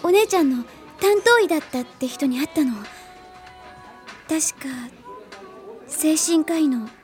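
A woman playing an anxious, earnest young girl in a soft, girlish manner, in a Japanese radio drama. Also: sits high, animated, takes it slowly, faint music behind. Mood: earnest, troubled.

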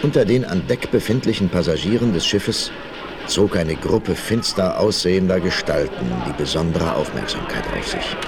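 A man's voice: low-pitched, animated, normal speed, crowd noise. A man narrating a 1960s radio play as a dramatic, theatrical, suspenseful storyteller. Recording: vinyl record transfer with faint noise.

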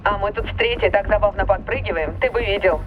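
Quick-talking crewmate over the radio. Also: female voice, medium pitch, phone-quality sound, soft background music. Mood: amused. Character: lively female crewmate, chatty and concerned, on radio. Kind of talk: Russian audio drama acting.

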